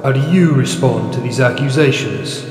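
An actor playing a stern, commanding military marshal with formal speech in a science fiction audio drama. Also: male voice, medium pitch, normal speed, close to an everyday voice. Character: stern, authoritative male military marshal presiding over a tribunal. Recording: echoey large hall reverb.

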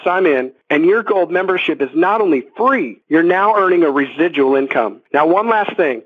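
Guy w husky highish voice doing a sales pitch on a conference call, tinny phone sound. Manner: direct, addresses listeners with rhetorical questions. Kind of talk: persuasive scripted sales pitch. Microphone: telephone conference line.

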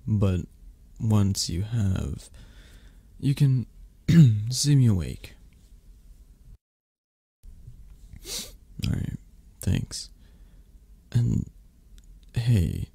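A man acts out a drowsy, flirtatious mafia boss in a romantic ASMR roleplay. His very low voice is gravelly, and he takes his time, with hesitant pauses. The delivery swings expressively in pitch while staying intimate, possessive and tender. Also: warm tone, no room sound, full character voice. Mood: loving, sleepy. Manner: slow, hesitant, murmured endearments with pauses. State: tired, drowsy. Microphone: close microphone.

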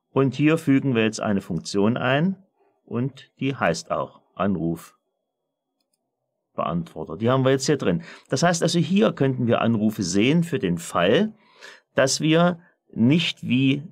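Male tutorial presenter, lowish voice, methodical, step-by-step software walkthrough. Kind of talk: software screencast tutorial, semi-spontaneous walkthrough.

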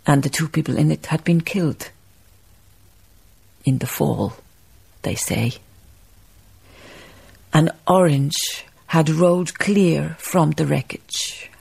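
Sad dignified lady memoirist, radio drama, breathy husky voice, some fry in it. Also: low voice, darkish tone, exaggerated voice. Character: grieving woman memoirist, wistful, dignified storyteller. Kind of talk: radio drama acting, reflective narration.

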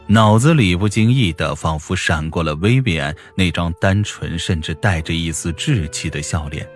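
Male audiobook narrator, low-pitched, dramatic storytelling over faint music. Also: normal speed. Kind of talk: expressive scripted fiction narration.

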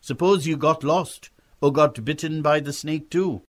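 A man's voice: on the high side, unhurried, voice pushed up, natural voice. A man voicing a hearty, direct, helpful backwoods neighbour in an audiobook story. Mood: cautioning. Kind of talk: acted audiobook dialogue.

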